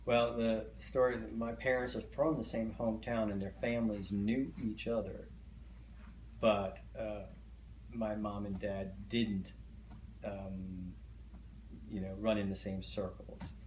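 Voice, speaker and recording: A man's voice: lowish voice, flat delivery, unhurried, darkish tone. A middle-aged man whose first language is Hungarian, recalling family history in an oral history interview, with many hesitant fillers and restarts. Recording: echoey room, faint noise.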